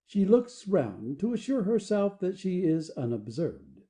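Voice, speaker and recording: Higher-voiced man narrating, very soft mellow tone. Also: big pitch swings, a little slow. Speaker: narrator reading stage directions. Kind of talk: expressive scripted narration.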